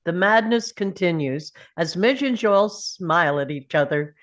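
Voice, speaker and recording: A woman's voice: on the low side, expressive, measured pace. A woman reading scripted stage directions as narrator at a table read.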